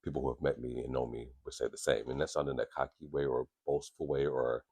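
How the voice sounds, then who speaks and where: A man with an extremely low, deep voice that carries a husky, grainy edge. He talks frankly into a podcast microphone, rambling and restarting, and swears freely. The sound is close and dry, with no room around it. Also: flat delivery, fast talker, a little mellow, no room sound. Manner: candid, profane and rambling, with many restarts. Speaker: podcast host. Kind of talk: casual podcast talk.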